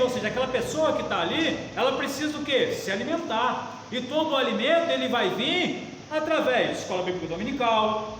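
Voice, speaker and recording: A man's voice: extremely high pitch, fairly bright tone. A Brazilian man preaching conversationally as a pastor leading a Bible study, emphatic, repeating phrases and asking rhetorical questions. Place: reverberant church meeting room. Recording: very echoey hall, faint noise.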